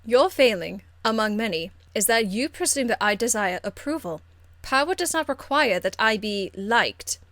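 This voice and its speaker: A woman's voice: fairly high pitch, lively intonation, moderate pace, a bit bright, no room sound. Haughty noblewoman mage for a fantasy game played by a woman: formal, condescending, icily courteous, dry close recording. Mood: weary disdain. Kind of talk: video game character acting.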